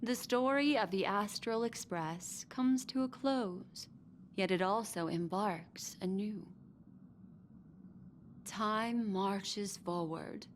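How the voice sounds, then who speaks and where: A woman voices a solemn, poetic storyteller in a video game. Her mid-pitched narration is slow and literary, with faint noise behind it. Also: natural voice. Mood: solemn, reflective. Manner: measured, literary. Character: solemn, poetic female storyteller of a cosmic saga.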